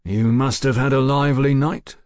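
Guy playing a gloomy careful cop, dry measured talk, slightly raised voice, audiobook. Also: medium pitch, fairly even intonation, a little mellow, no room sound, pitched above the performer's own voice, close to an everyday voice. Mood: glum. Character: glum, dry, cautious London police sergeant. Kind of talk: audiobook character acting.

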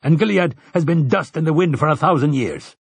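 A man's voice: on the high side, lively intonation, brisk, vocal fry, breathy edge, no echo, voice pushed up, full character voice. A man voicing an angry, big-bellied farmer in an audiobook, blustering and dismissive. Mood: scornful, angry.

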